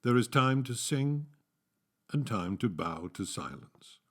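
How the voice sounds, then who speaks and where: A man reciting poetry as an actor, in a solemn, measured, dramatic delivery. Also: mid-range voice, expressive.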